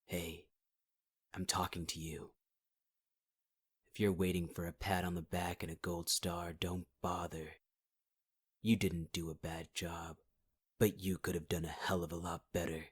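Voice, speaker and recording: Male actor as a scarred, possessive villain: very low, husky, taunting half-whisper, dry close mic. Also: no echo, heavily acted. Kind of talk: ASMR audio roleplay.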